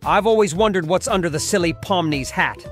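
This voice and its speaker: A woman's voice: fairly low pitch, brisk, faint music behind, close to an everyday voice. A dub actor voicing a mischievous male rabbit who teases and schemes. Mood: sneaky. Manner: sly, teasing.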